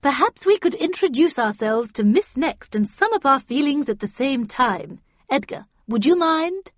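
A woman's voice: high voice, lively intonation, moderate pace, no room sound, highs cut off, pitched above the performer's own voice. A woman voicing a prim, weary but encouraging female counsellor in a story. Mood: brisk, encouraging.

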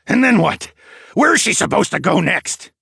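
A man voicing a gruff, suspicious, irritable grandfather in audiobook dialogue, curt and huffing. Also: medium pitch, expressive, a little slow, a bit bright, no echo, clean studio sound, pitched above the performer's own voice, full character voice. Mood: challenging. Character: gruff older grandfather, armed, wary and irritable.

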